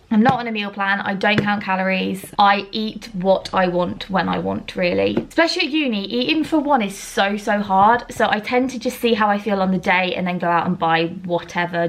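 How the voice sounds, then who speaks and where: Slightly croaky young woman vlogging. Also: mid-range voice. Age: around 20. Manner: chatty, rambling, self-deprecating. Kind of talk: casual chat to camera. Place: at home.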